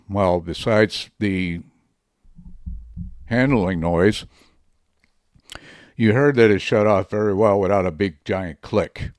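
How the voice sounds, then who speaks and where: Chatty deep-voiced man reviewing a mic. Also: a little mellow, clean tone, no room sound, studio quality. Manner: chatty, loose, with frequent fillers. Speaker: microphone reviewer. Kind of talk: casual product review to camera. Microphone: studio microphone under test.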